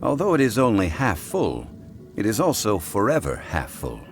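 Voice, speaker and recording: A very deep, composed male consultant from a video game, formal and deliberate. His low voice still rises and falls widely as he explains, with faint game music underneath. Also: wildly swooping pitch. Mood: steady, measured. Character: calm ancient male consultant, scholarly, composed. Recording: game score playing faintly.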